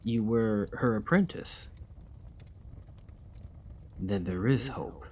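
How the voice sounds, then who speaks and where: A man playing a desperate, weary immortal gentleman vampire in an ASMR roleplay, speaking formally and courteously in a breathy, husky voice that often drops to a whisper. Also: on the low side, slow pace, a little hiss or hum. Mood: pleading. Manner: formal, courtly, old-fashioned phrasing.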